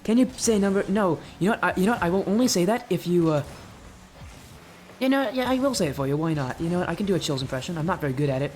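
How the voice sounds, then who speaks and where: A young man with a high-pitched voice casting an esports match live, chatty and rambling, answering chat between plays. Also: quick pace, darkish tone, a little hiss or hum. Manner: chatty, rambling, replies to chat mid-play. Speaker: esports caster and streamer. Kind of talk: live game commentary.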